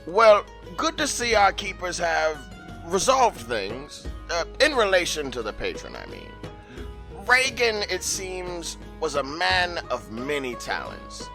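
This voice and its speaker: A man's voice: fairly high pitch, huge pitch swings, slow, music in the background, heavily acted. An actor voicing Alabaster, a male tavern host narrating with a theatrical flair. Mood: storytelling. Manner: theatrical storytelling.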